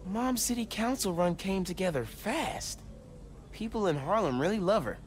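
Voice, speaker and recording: Upbeat, lively teenage American boy. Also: sits high, moderate pace. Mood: casual, curious. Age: teens. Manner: youthful, casual, thinking aloud. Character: upbeat, earnest teenage boy superhero, good-natured son. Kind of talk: video game character acting, casual self-talk.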